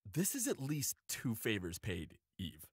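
A man's voice: on the low side, huge pitch swings, unhurried, slightly rough, no room sound, studio quality, heavily acted. A man playing a cheeky, shrewd weaponsmith in an audio roleplay, with a playful, theatrical delivery. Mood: suspicious, bargaining. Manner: playful, mock-wounded, dramatic. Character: cheeky, theatrical male weaponsmith and shrewd old family friend. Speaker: guest voice actor in audio roleplay. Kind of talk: scripted audio drama acting.

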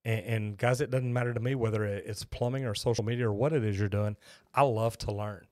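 A man's voice: on the low side, steady tone, a little fast, mellow, no room sound. A man, hosting a plumbing live stream in a folksy, rambling way on a close studio microphone. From Texas, USA. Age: 50s. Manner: folksy, rambling, chatty with restarts. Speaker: host, master plumber. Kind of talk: live-stream chat with viewers. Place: small home studio with shelves. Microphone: boom-arm studio microphone close up.

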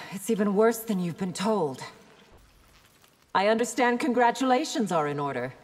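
A woman's voice: medium pitch, expressive, moderate pace, darkish tone, lightly acted. A woman voices a confident royal warrior in a fighting game. She is formal and assured, with very varied intonation and hall-like reverb. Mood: poised, confident. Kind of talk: dramatic fighting game dialogue.

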